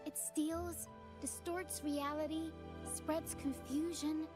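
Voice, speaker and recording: An actress playing a curious, expressive young adventurer girl in a video game. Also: high voice, takes it slowly. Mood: storytelling, dramatic. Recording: game music under the voice.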